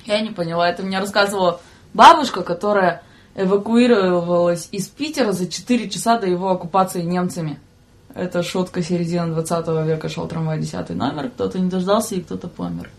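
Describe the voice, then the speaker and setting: A woman's voice: fairly low pitch, steady tone, fast, creaky. A woman chatting casually and jokingly as a guest on a radio talk show.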